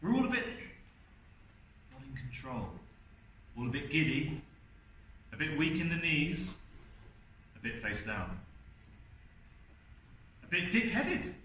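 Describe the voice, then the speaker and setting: A wry, defiant ageing man played by a young man confides in a theatrical monologue, repeating himself haltingly. The voice is fairly high and animated, heard from a distance in a very reverberant hall. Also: full character voice. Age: young adult. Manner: confiding, rhetorical, halting repetitions. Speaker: drama student performing a monologue. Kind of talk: theatrical first-person stage monologue. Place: indoor university event space, on stage. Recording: distant, very echoey hall, faint noise.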